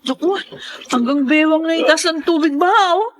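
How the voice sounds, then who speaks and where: An actress as a worried Filipina daughter in a radio drama. Her voice is high, and she sounds practical and anxious as she looks after her family. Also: moderate pace, close to an everyday voice.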